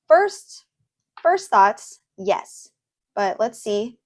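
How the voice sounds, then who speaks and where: A young woman hosting a casual reaction video from her desk at home, chatty and earnest, pausing often with ums. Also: medium pitch, big pitch swings, no echo, studio quality. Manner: chatty and earnest, with fillers and pauses. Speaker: host. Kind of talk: casual reaction video, reading posts aloud.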